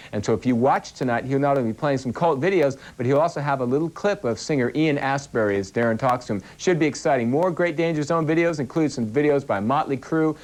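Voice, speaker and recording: A young man hosting a live music-video channel in the 1980s, chatting casually and upbeat between videos. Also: medium pitch.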